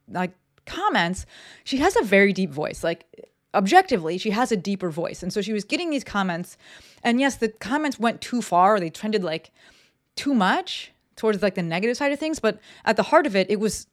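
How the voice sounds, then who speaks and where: Blunt, swearing podcast host, woman. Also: mid-range voice. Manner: blunt and profane, lots of fillers. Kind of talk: casual solo podcast talk. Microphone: desk microphone.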